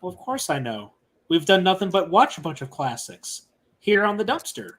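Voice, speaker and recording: A man's voice: sits high, sing-song, moderate pace, fairly bright tone. A man co-hosting a podcast, chatting casually and teasing his friends. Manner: bantering, teasing. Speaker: podcast co-host. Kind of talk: casual chat.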